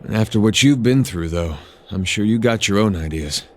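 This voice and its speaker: A man's voice: on the low side, animated, moderate pace, a little hiss or hum, natural voice. An actor playing a plain-spoken, reflective adventurer in a video game, folksy and earnest in his informal way of talking. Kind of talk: video game character acting.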